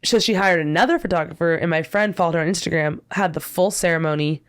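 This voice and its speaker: Lower-pitched woman telling candid stories. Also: fast. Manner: candid, storytelling, slangy. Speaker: wedding photographer, guest. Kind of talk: casual podcast chat.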